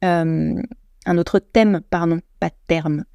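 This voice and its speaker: A woman's voice: fairly low pitch, steady tone, slow, creaky, dark tone, clean tone, no room sound, highs cut off. Chatty French woman, dry close sound. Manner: chatty, self-deprecating, many restarts and self-corrections. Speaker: podcast host. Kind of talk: solo podcast monologue.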